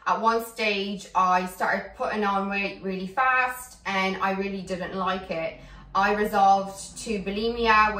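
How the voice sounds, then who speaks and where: Young slovak-born woman, raised in ireland, vlogging her story, bright voice, echoey room, mic a bit far off. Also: mid-range voice. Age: 20s. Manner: earnest, candid, reflective. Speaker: fitness coach. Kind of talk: personal vlog to camera. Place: bright indoor room at home. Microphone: camera mic from a few feet away. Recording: roomy, a bit distant.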